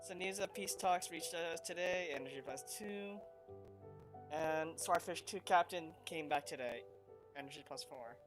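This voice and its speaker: A woman's voice: low voice, a little slow, over music, poor audio. A woman streamer chatting casually over a game, laughing often and reacting to the scenes. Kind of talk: casual gameplay commentary.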